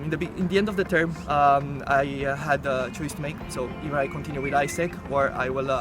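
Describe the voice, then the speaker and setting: A man in his twenties from Romania with a Romanian accent, presenting himself earnestly and haltingly in a semi-prepared video. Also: mid-range voice. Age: 20s. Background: loud music.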